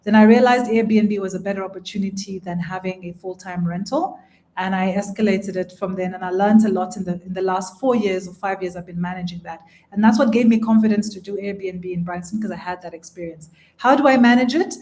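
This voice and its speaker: A woman from Uganda explains her rental business to a panel in a calm, measured voice. She speaks at an ordinary pitch and keeps the tone businesslike and orderly. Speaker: presenting investor. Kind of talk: live panel presentation.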